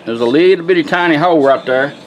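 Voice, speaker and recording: A man's voice: a little high, quick pace, slight background noise, low-quality recording. A man giving a casual hands-on product demonstration in a plain-spoken, folksy, step-by-step way.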